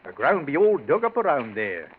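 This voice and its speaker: A man's voice: a little high, wildly swooping pitch, normal speed. A devoted country manservant in an old radio play, speaking deferential Yorkshire dialect. His voice rises and falls sharply in protective concern, through a narrow, noisy vintage recording. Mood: anxious, protective. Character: loyal, protective rustic male servant. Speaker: actor. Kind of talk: 1940s radio acting.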